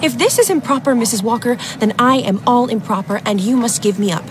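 Woman playing a breezy, defiant high-voiced young lady. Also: animated, a little fast, close to an everyday voice. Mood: breezy scorn. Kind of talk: period radio drama acting. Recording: noisy street ambience behind.

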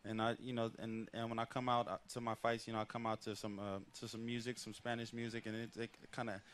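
A man's voice: mid-range voice, fast, a little hiss or hum. A man in his thirties, a boxer guest, talking casually and rambling with lots of fillers on a headset mic at an outdoor set. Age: 30s. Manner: relaxed, rambling with many fillers. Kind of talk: casual interview talk.

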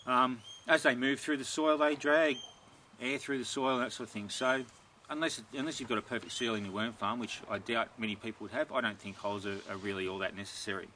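A middle-aged man answering viewer questions about his garden in a relaxed, rambling way, recorded outdoors in a backyard. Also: medium pitch, a little fast, slight background noise. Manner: relaxed and rambling, many ums and restarts. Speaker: gardening video host. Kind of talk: casual Q&A, reading viewer questions.

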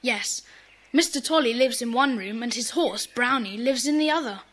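A woman's voice: fairly high pitch, normal speed, a bit bright, low-quality recording. A woman playing an earnest, kind-hearted schoolboy in a children's audio drama. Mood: worried. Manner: earnest, explanatory.

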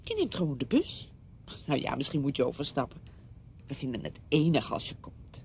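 An actress in a Dutch radio play, playing a brisk, patronising woman who fusses chattily over a widowed friend. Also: sing-song, gravelly, a little mellow, heavily acted. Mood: brisk, chatty. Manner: chatty, fussing, condescending. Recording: dull radio recording with rumbling noise.

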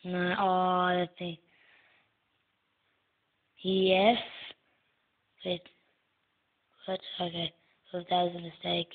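A soft-spoken young woman hosting a casual gaming video, answering a guessing game in short words. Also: lowish voice, monotone, very slow, pauses often. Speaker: gaming channel host. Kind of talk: casual gameplay commentary. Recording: tinny lo-fi phone-like recording.

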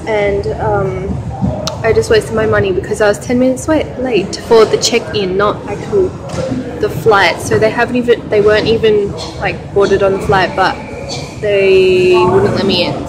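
A young woman chats into a phone held at arm's length, rambling through her packing and then her wait at the airport with lots of ums and restarts. Her voice sits at an ordinary middle pitch, and there is a faint hiss of background noise under it. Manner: chatty, rambling, many fillers and self-corrections. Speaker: vlogger. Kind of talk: casual vlog chat. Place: airport terminal, indoors.

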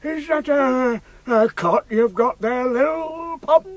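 Woman as a fussy, dithering old uncle, high, very slow, dry close sound. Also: no echo. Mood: puzzled. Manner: slow, puzzled, fussing.

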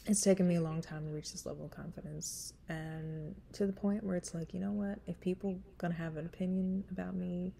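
A woman's voice: steady tone, brisk, hardly any pauses, mellow, a little hiss or hum. A woman in her thirties with a smoky voice and a stuffy nose, chatting frankly and rambling with laughs into a webcam in a small room. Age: 30s. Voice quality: smoky, husky low voice. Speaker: singer vlogger. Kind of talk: casual chat before a cover song.

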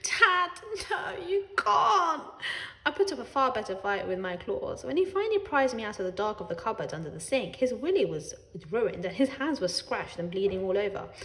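A woman reading a children's story aloud in an expressive way, with dramatic emphasis on words. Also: mid-range voice, a little fast, hardly any pauses, reverberant, low-quality recording.

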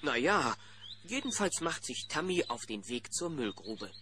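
A man's voice: a little high, big pitch swings, unhurried, pushed and bright, slight background noise. A man narrating a children's radio play as scripted storytelling. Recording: music behind the voice.

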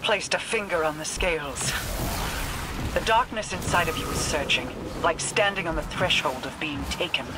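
A woman's voice: low voice, moderate pace, close to an everyday voice. A woman playing a solemn, imperious otherworldly queen in a game, speaking in a regal, commanding way. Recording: very noisy game effects behind.

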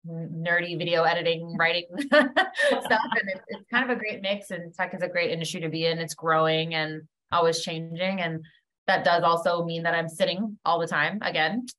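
A woman's voice: lowish voice, normal speed, fairly bright tone, low-quality recording. A woman in her thirties with a Canadian accent, chatting informally as a podcast guest and telling personal stories. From Canada, born in Trinidad. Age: 30s. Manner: informal, peppered with "like". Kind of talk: casual chat, personal storytelling. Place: indoor room, video call.

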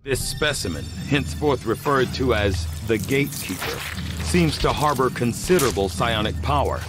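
An actor playing a composed male scientist in a video game, speaking in a calm, formal, precise way. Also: medium pitch, normal speed, music in the background, natural voice. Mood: explaining. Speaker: science officer. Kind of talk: video game cutscene acting.

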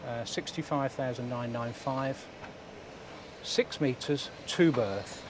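A man's voice: medium pitch, slow. A man, giving a casual walkaround of a motorhome at a show, thinking aloud as he goes. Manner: chatty, thinking aloud, mild remarks. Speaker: motorhome vlogger. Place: inside a motorhome at an indoor trade show. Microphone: handheld camera mic. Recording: noisy exhibition hall.

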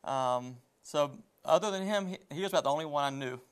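A man in his thirties from southeast Georgia, a church music minister, answering interview questions in a measured way with pauses. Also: a little high, clean tone. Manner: measured, plain, pauses with ums. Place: living-room style studio set. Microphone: lapel mic.

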